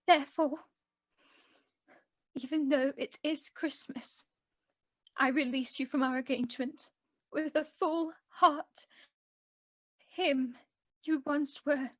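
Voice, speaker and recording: A woman playing a gentle, sorrowful but resolute young fiancée, earnest and theatrical in a radio play. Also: high voice, fairly even intonation, slow, long pauses.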